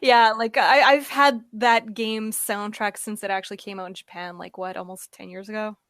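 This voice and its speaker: A woman's voice: mid-range voice, steady tone, normal speed, fairly bright tone, no echo, studio quality. A woman co-hosting a gaming podcast, chatting casually and laughing along. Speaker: podcast co-host. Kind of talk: casual chat.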